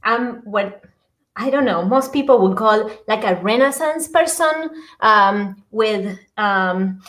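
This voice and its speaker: A middle-aged woman from Argentina speaks with a clear Argentine Spanish accent. She talks haltingly, filling her pauses with ums and soft laughs. Her voice sits in an ordinary middle pitch. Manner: hesitant, many ums and uhs, laughs often. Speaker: guest, app creator. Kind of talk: casual interview chat.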